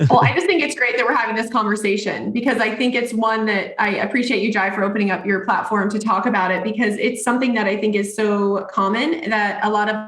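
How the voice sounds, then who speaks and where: A woman's voice: mid-range voice, steady tone, quick pace, hardly any pauses, a bit bright. Earnest woman in an echoey room. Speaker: guest. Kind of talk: casual chat.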